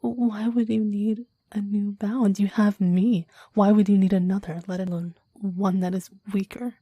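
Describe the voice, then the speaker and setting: Woman voicing a possessive demon, breathy whisper, unhurried, very close dry sound, formal reverent speech, ASMR roleplay, quietly deadly. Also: medium pitch, steady tone, dry recording, muffled recording, natural voice. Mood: jealous, disbelieving. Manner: formal, archaic, reverent phrasing. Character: clingy, possessive, deadly demon devoted to a master.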